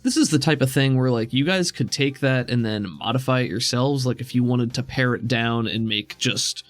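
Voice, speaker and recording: A man's voice: medium pitch, moderate pace, no echo, soft background music. A man acting as game master, narrating and explaining rules in a casual, conversational way.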